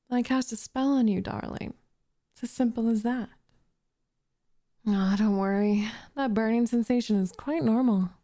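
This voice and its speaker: A woman playing a possessive, lovestruck witch in an ASMR roleplay, coaxing and condescending in a soft voice that slips into whispers. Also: on the high side. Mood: coaxing, smug, then patronizing, sweet.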